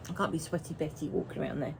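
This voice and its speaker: A woman's voice: low voice, rapid-fire, gravelly, a little mellow, a little hiss or hum, poor audio. A woman, chatting casually and rambling to camera as a knitting vlogger. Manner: chatty and rambling, with frequent restarts.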